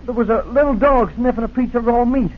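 On a tinny vintage radio recording, a plucky teenage country boy. The voice is strikingly high, earnest and guileless, delivered in classic 1940s radio style. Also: quick pace. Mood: dazed.

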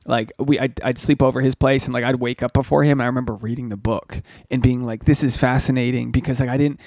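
A man's voice: mid-range voice, quick pace, no room sound, clean studio sound. A man in his thirties hosting a podcast, telling crude, rambling stories in a casual comedic chat. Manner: crude, rambling, animated storytelling. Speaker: podcast host.

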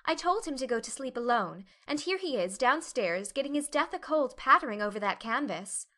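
A woman's voice: high voice, lively intonation, moderate pace, pushed and bright, pitched above the performer's own voice. A woman voicing an affectionate, coaxing young wife and mother for a storybook reading. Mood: mildly exasperated.

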